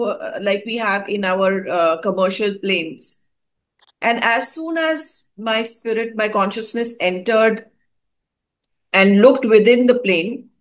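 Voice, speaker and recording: A woman from India hosting a conversation, recounting a meditative experience with frequent hesitations and fillers. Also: mid-range voice. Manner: hesitant, many fillers and restarts. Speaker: host. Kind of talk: casual spoken account of a spiritual experience.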